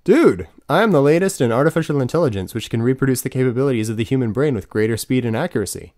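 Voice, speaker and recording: A man's voice: mid-range voice, expressive, fast talker, darkish tone, no room sound, studio quality, close to an everyday voice. A man in his twenties voicing an oblivious, self-assured chatbot god for an audiobook, with stilted, chirpy replies.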